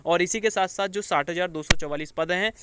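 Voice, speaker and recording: A man's voice: sits high, a little fast, background noise. A man giving an informal news update to students as a coaching teacher, explaining things with frequent check-in tags. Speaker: exam coaching teacher and channel host.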